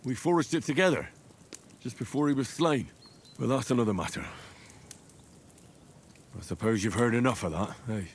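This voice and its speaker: An actor playing an earnest, plain-spoken young smith's son turned soldier in a medieval game. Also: male voice, medium pitch, moderate pace, long pauses, slight background noise, lightly acted. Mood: solemn. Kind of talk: video game cutscene acting.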